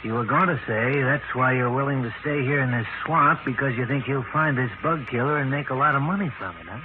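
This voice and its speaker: Brash, blunt hired man played by a male actor, medium pitch, slangy 1940s radio style, narrow noisy recording. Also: brisk, close to an everyday voice. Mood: pressing, insinuating. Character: brash, pushy, slangy young hired hand, male, scheming.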